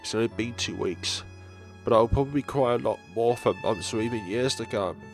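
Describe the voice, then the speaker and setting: A man talking in an earnest, reflective, halting way as a gaming channel host. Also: medium pitch, soft background music. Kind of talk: reading visual novel text, reflective commentary.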